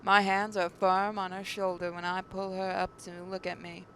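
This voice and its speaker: Woman narrating dramatically over rumbling background noise. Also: little pitch movement, moderate pace, fairly bright tone, poor audio. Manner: stumbles over words while reading. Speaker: gaming channel narrator reading a visual novel aloud. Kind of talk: dramatic read-aloud narration.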